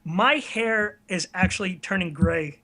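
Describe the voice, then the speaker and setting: Teen guy, 19, pretty high bright voice, casual interview guest, honest and a bit hesitant. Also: measured pace. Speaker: interview guest, online video creator. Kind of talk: casual chat.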